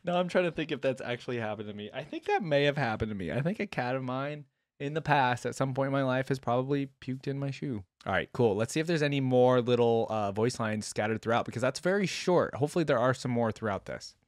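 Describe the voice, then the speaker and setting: A man's voice: mid-range voice, fast talker, dry recording, studio quality. A young man giving casual, off-the-cuff reaction commentary as a video host. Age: young adult.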